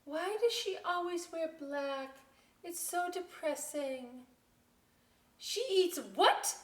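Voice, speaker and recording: A woman's voice: very high-pitched, expressive, takes it slowly, pitched above the performer's own voice, heavily acted. A woman voicing a snooty, judgmental cool bird in a picture-book read-aloud, exclaiming with disdain. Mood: disdainful. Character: snooty cool-gang birds, judgmental and dismissive. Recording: roomy echo, distant microphone.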